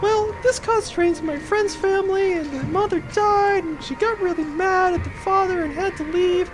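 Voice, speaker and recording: A woman's voice: extremely high pitch, moderate pace, faint music behind. A woman playing an earnest, hesitant young female pilot in a comedic audio drama, with noise behind her. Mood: earnest, awkward.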